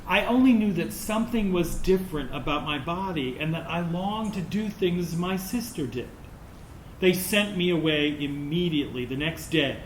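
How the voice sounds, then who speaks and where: A man's voice: on the high side, lively intonation, moderate pace, natural voice. A man acting in a staged reading as a transgender adult looking back on childhood, sober and understated. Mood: sober, pained. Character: transgender adult recalling painful childhood rejection. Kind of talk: sober monologue read from a script to an audience. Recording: roomy stage recording with faint noise.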